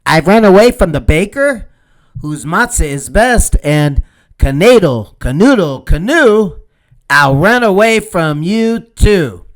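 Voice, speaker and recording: A man's voice: high voice, lively intonation, slow pace, voice pushed up. A man voicing a cheeky, boastful little storybook matzah man who taunts in sing-song rhymes. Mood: taunting.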